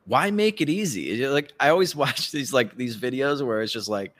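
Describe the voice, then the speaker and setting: A man's voice: mid-range voice, expressive, a little fast, a bit bright. A man giving casual, profane political commentary as a podcast host, ranting and mockingly acting out what others say.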